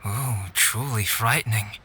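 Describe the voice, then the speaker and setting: A man playing a calm, scholarly young alchemist in a video game, speaking in a measured, articulate way. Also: on the low side, husky, heavily acted. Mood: calm, wry. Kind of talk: video game voice acting, storytelling.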